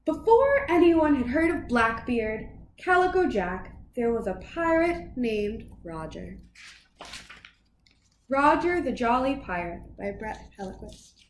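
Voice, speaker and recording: High-pitched, animated woman reading a storybook. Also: slow, reverberant, slight background noise, poor audio. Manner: animated, dramatic phrasing for young children. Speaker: children's storybook reader. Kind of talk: expressive picture-book read-aloud.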